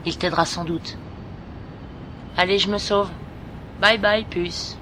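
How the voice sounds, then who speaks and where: A woman's voice: lowish voice, steady tone, takes it slowly, a bit bright, a little hiss or hum, low-quality recording. A woman playing a frank, bossy but caring teenage best friend in an audio drama. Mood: encouraging. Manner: frank, scolding, familiar. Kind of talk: amateur audio drama acting.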